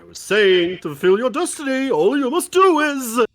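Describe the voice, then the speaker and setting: A man's voice: very high voice, sing-song, moderate pace, fairly bright tone, no room sound, faint music behind, full character voice. A man voicing a theatrical otherworldly messenger who proclaims melodramatically and demands vengeance in a comic dating game. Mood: grandiose, urgent.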